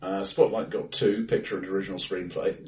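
Low-voiced man in an echoey room. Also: steady tone, brisk. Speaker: podcast host. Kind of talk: casual film discussion. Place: indoors, reverberant room, face to face. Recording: echoey bright room, distant mic.

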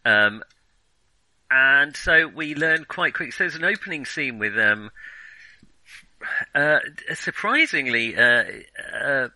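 A man with a very bright, forward voice, recorded close and dry. He talks casually as a film podcast co-host, hesitating and reworking his sentences as he goes. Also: mid-range voice, expressive, takes it slowly, pauses often, no echo, rough recording. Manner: hesitant, self-correcting, circling back to rephrase. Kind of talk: casual film review chat.

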